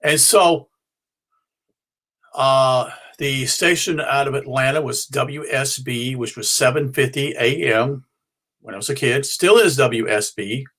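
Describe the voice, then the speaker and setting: Halting American man explaining informally. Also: mid-range voice, fairly bright tone. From North Carolina, United States. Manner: halting, rambling, self-correcting. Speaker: ham and shortwave radio hobbyist presenting his show.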